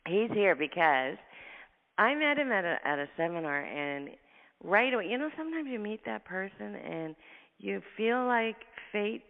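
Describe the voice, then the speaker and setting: A woman hosting a radio show, talking fast and effusively in a husky voice, tired from travel. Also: mid-range voice, very animated. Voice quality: husky, gravelly voice. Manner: fast, effusive talker, laughs often. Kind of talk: casual radio interview chat. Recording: tinny phone line with long reverb.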